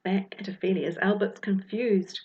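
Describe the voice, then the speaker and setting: A woman's voice: medium pitch, steady tone, normal speed, muffled recording. A woman with a New Zealand accent reading a rhyming children's story expressively, as its author. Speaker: author reading her own book.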